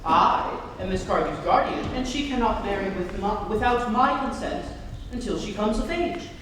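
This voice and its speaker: A young woman playing a stubborn, indignant gentleman guardian in a stage comedy reading, formal and earnest in delivery. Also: medium pitch, moderate pace, close to an everyday voice. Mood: stern. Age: young adult. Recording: echoey noisy hall from far off.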